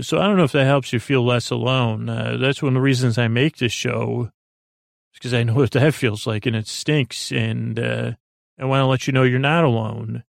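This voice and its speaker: Creaky-voiced man rambling gently at bedtime. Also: medium pitch, no echo, studio quality. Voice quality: creaky, gravelly. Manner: halting and meandering, with many false starts; gentle, reassuring, informal. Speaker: podcast host. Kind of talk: rambling, soothing bedtime podcast monologue.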